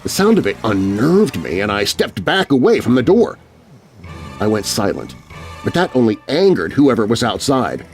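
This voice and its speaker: A man narrating a scary children's story in an expressive, suspenseful, scripted style. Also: medium pitch, moderate pace, soft background music. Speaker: narrator, voice artist.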